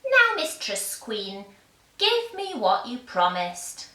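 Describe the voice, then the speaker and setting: A woman's voice: on the high side, wildly swooping pitch, slow, sharp tone, exaggerated voice. A woman voicing a demanding, gleeful little mannikin man in a fairy tale, taunting and emphatic, in an echoey room. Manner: taunting, emphatic, singsong questions and refusals. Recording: echoey room, distant microphone.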